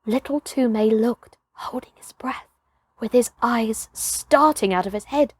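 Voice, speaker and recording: A woman reading a children's story expressively, with dramatic delivery that moves between hushed and emphatic. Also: fairly high pitch, measured pace, husky, dry recording, clean studio sound. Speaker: storybook reader, performer.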